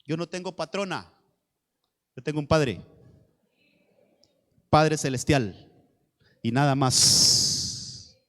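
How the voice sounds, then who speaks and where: High-pitched Honduran preacher man in reverberant hall. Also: animated, slow, long pauses, fairly bright tone. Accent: Honduran Spanish. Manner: exhortative, repeats phrases, often addresses listeners directly. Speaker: pastor. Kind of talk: evangelical sermon.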